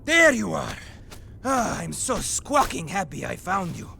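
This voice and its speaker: An actor voicing a small talking bird, an excitable, fussy messenger in a video game. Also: male voice, high-pitched, unhurried, vocal fry, faint music behind, heavily acted. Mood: excited relief. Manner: squawky, excitable. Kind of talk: video game creature acting.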